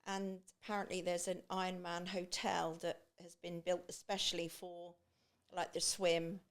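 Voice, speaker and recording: A woman's voice: fairly low pitch, little pitch movement, a little slow. A woman guest on a podcast, talking casually with many restarts and fillers. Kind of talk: casual conversation.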